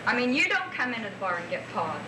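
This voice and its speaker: A young woman arguing forcefully and insistently at a heated meeting, talking loud enough to be heard. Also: fairly high pitch, very animated, fast, a loud crowd. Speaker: bar worker proposing a women's night. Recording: echoey room, far from mic, noisy old tape.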